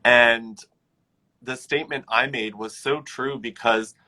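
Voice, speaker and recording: A man's voice: mid-range voice, fairly even intonation, takes it slowly, bright, forceful tone, poor audio. A man talking reflectively but firmly in a casual conversation, leaning on "like" as a filler. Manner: reflective, lots of "like" fillers, firm.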